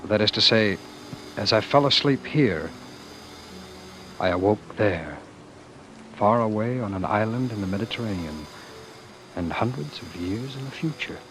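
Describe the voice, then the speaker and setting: A deep-voiced male ruler who has given up his throne for love, weary and defiant. His delivery is theatrical and widely swinging, on a slightly noisy vintage radio recording. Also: expressive, fairly bright tone. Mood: haunted, reflective. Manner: halting, theatrical. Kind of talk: old-time radio drama acting.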